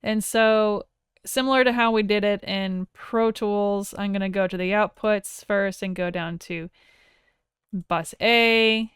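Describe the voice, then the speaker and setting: A woman teaching audio software in a casual, conversational screen-share tutorial, informal and enthusiastic about her tools. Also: mid-range voice, fairly even intonation, slow, clear, resonant tone, dry recording, clean studio sound.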